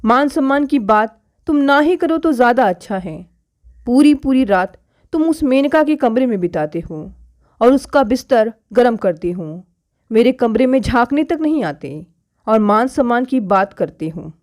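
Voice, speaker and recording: A woman narrator plays a proud modern wife in a family audio story. Her voice is fairly high, indignant and challenging, full of pointed rhetorical questions. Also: animated, moderate pace, a little mellow, lightly acted. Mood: indignant, hurt.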